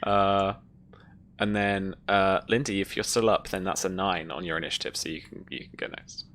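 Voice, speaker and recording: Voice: sits low, steady tone, moderate pace, a bit bright. A game master running a tabletop roleplaying game, informal in manner, narrating the action.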